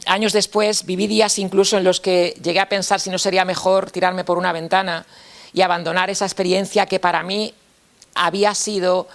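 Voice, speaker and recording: A man's voice: high voice, fairly bright tone. A man from Spain with a Spanish accent gives a personal testimony talk, reflective and candid, often repeating phrases and closing points with a questioning tag. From Spain, Castilla-La Mancha. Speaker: speaker at a mental health talk.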